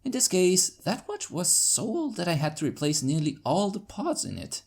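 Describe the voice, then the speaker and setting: A woman's voice: lowish voice, big pitch swings, normal speed, gravelly, darkish tone, pitched above the performer's own voice, full character voice. A woman voicing a mild, thoughtful pony clockmaker who speaks politely and explains things precisely in a story reading. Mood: explaining. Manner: polite, explanatory, slightly pedantic.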